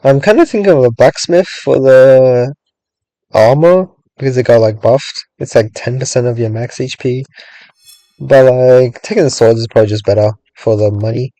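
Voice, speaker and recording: A young man talks through his game in a loose, meandering way, filling gaps with "like" and half-finished thoughts. His voice is a touch raspy after long talking and sits on the mellow, darker side. The sound is dry and close, with no room around it. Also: mid-range voice, moderate pace, no room sound, rough recording. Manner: rambling, thinks aloud, many "like"s and hedges. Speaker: gaming streamer. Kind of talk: casual gameplay commentary.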